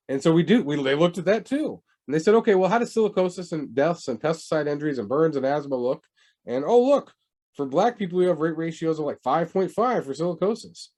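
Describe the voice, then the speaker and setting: A man lecturing on public health in a lively, conversational way, often asking rhetorical questions. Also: on the high side. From United States.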